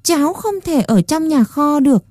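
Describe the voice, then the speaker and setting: Woman doing a high, tearful wooden doll boy. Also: normal speed, darkish tone, no room sound, clean studio sound, close to an everyday voice. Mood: pleading. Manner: pleading, sobbing, then shrill and defiant.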